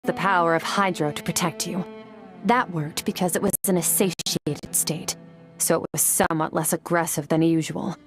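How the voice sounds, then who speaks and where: A woman's voice: on the low side, fairly even intonation, normal speed, close to an everyday voice. A woman playing a stoic, battle-hardened swordswoman in a video game, speaking in a terse, matter-of-fact, composed way. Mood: cool, explanatory. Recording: game music under the voice.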